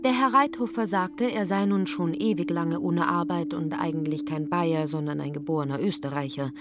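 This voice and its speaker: A woman's voice: on the low side, moderate pace. A woman narrating a literary radio drama in scripted prose. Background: music.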